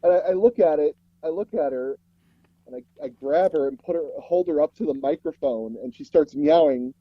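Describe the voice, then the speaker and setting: A man telling anecdotes casually as an interview guest, animated and acting out bits of dialogue as he goes. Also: on the high side, normal speed, no echo, dull audio. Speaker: guest, voice actor and audio drama producer.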